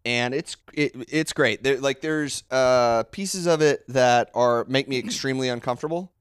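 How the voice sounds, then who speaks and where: A young man chats loosely on a podcast, trailing off and restarting his sentences. His voice is smooth and clear. It sits close and dry, with no room sound. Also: medium pitch, moderate pace, no room sound. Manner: rambling, self-interrupting, playful impressions. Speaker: podcast co-host. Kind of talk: casual chat.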